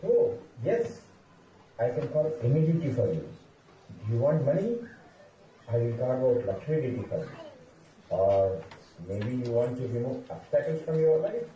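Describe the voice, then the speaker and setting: A man playing an expressive, theatrical village sculptor of deities in an amateur stage drama. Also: mid-range voice, moderate pace, a little hiss or hum, lightly acted. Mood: eager. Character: animated village deity sculptor, eager then fearful. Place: temple hall stage. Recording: muffled, echoey hall, distant mic, faint music.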